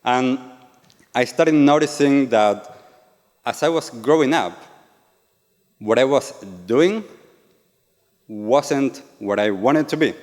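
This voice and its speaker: A man's voice: mid-range voice, slow, pauses often, darkish tone. Argentine man speaking in a reverberant hall. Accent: Argentine Spanish. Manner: earnest, asks the audience questions. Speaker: yoga and meditation teacher. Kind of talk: live talk to an audience. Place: event venue with an audience.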